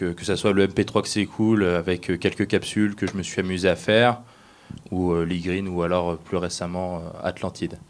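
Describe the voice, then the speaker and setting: A man introducing himself as a guest at a round table, speaking in hesitant, halting phrases. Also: low voice. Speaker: guest, amateur audio fiction creator.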